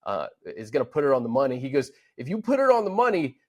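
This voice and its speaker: A man's voice: medium pitch, sing-song, fast talker. An American man co-hosting a sports show, giving casual, earnest, conversational analysis into a studio microphone.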